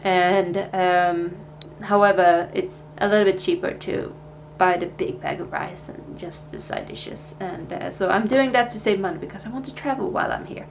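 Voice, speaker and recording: A woman's voice: on the low side, normal speed, vocal fry, hardly any pauses, a little hiss or hum. A young Swedish woman with a Swedish accent, chatting casually in a life update vlog, with plenty of fillers and restarts. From Sweden. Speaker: vlogger.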